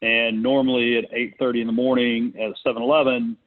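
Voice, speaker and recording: A man hosting a business conference call in casual, unscripted talk, speaking hesitantly with thinking pauses. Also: mid-range voice, level tone. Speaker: conference call host, real estate investor. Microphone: conference call connection. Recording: muffled, narrow phone-line sound.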